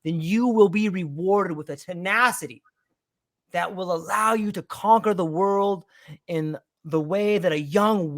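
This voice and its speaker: A man hosting a podcast, introducing his guest with showman-like enthusiasm. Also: high-pitched. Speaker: podcast host. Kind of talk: podcast interview.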